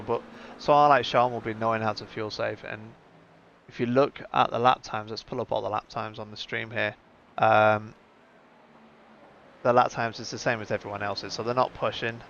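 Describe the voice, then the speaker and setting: A man's voice: lowish voice, flat delivery, moderate pace, a bit bright. A man hosting a live sim racing stream with casual, conversational commentary, thinking aloud as he goes. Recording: muffled lo-fi audio with background noise.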